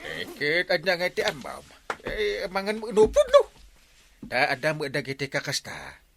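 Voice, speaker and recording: An actor playing an excitable, teasing village uncle in a comedy radio drama, halting and full of exclamations. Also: male voice, a little high, gravelly, background noise, exaggerated voice. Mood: excited teasing.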